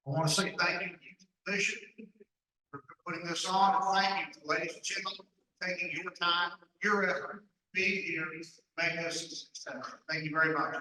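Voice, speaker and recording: A man with a high, bright voice makes an earnest, personal campaign speech. He sounds distant in a reverberant meeting hall, with faint background noise. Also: fairly even intonation, moderate pace. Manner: earnest, personal, speaks from his own life. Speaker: county commission candidate. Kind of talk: campaign speech at a candidate forum. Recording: echoey hall, distant mic.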